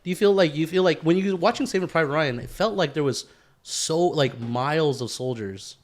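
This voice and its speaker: A man co-hosting a podcast, chatting casually in a slangy, profane, animated way. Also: a little high, fairly quick. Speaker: podcast co-host. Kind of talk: casual chat.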